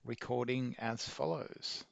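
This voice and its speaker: A man reading a scripted how-to narration in a measured, methodical way. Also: mid-range voice, fairly even intonation, vocal fry, dry recording, highs cut off. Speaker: video maker giving an installation tutorial.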